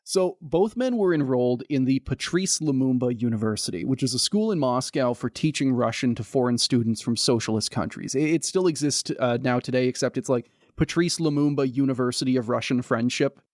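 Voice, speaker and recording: A man's voice: mid-range voice, expressive, fairly quick, hardly any pauses, a little mellow, no room sound, clean studio sound. A man co-hosting a casual history podcast, telling the story in a laid-back way with lots of fillers. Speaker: podcast co-host.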